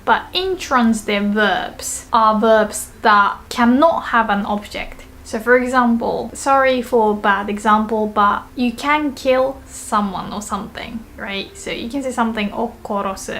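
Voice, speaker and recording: A woman's voice: a little high, a bit bright, slight background noise. A woman with a Japanese accent, a native Japanese speaker, giving a casual language lesson and patiently repeating example sentences.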